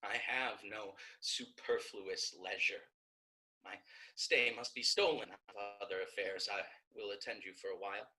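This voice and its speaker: A woman's voice: very deep voice, steady tone, moderate pace, bright, forceful tone, low-quality recording. A woman playing a fierce, virtuous young novice sister in a staged Shakespeare reading, emphatic and declamatory. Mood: earnest, resolute.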